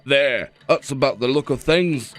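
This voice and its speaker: An actor in a radio play voicing a bossy, fussing head servant who scolds briskly. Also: male voice, mid-range voice, a bit bright, faint music behind, close to an everyday voice. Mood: bossy, hurried. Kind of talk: radio theater acting.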